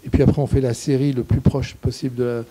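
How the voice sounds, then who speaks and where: Halting older man with a dark voice. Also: medium pitch, little pitch movement, slightly rough. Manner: halting, searching for words, gesturing. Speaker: guest cinematographer. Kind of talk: thoughtful Q&A answers. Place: small indoor screening room in a shop. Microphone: handheld mic, standing.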